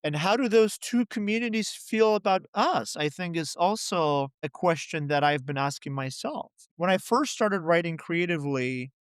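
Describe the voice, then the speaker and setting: A man's voice: on the high side, moderate pace, hardly any pauses, smooth, no echo, clean studio sound. A middle-aged man from Poland, a poet and translator, answering interview questions in a reflective way with many "you know" and "right?" asides.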